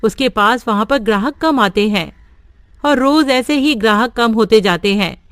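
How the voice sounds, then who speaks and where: A woman narrating a cartoon story in a plain, steady way. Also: on the high side, measured pace, smooth, no room sound. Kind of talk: scripted narration.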